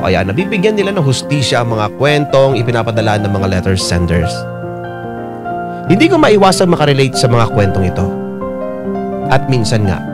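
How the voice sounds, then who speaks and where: Man narrating expressively over piano music. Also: medium pitch. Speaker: radio drama narrator reading a listener's letter. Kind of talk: scripted first-person storytelling narration.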